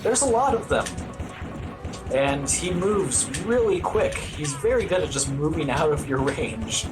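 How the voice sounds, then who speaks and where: Man commentating over loud game music. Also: a little high, animated, a little slow, poor audio. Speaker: speedrunner commentating on own gameplay. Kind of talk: casual live speedrun commentary. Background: video game music.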